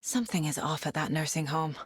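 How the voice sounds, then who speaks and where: Serious fbi agent lady from a horror game, bit husky, super dry studio sound, focused and kinda talking to herself. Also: low-pitched, a little fast, no echo. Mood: serious, questioning. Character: determined, level-headed female FBI agent investigating supernatural horror. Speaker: video game voice actress. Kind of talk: dramatic video game voice lines.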